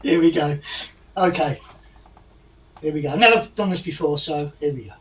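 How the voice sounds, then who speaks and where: A man guest musician in a casual chat, chatty and quick to laugh. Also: a little high, distant mic, dull audio, music in the background.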